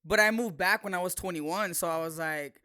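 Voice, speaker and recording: A man's voice: high voice, moderate pace, sharp tone, smooth. A man from California chatting casually as a talk show co-host, slipping some Spanish into his English. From California, United States. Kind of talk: casual chat.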